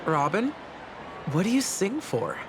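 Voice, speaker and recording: A woman's voice: medium pitch, very animated, a little slow, noisy background. A woman playing a self-assured, teasing, perceptive lady in a video game, with a casual, knowing manner. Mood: teasing, warm. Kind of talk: video game dub acting. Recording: loud music under the voice.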